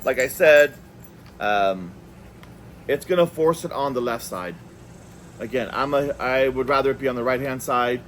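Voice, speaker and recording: Male product reviewer, mid-pitched, informal demo delivery with stop-and-start phrasing, light room noise behind. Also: normal speed, pauses often, fairly bright tone. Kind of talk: casual hands-on product demonstration.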